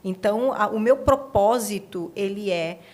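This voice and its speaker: Brazilian lady hosting a podcast, medium pitch, chatty interview style. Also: unhurried, a little mellow. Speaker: podcast host. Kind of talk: conversational interviewing.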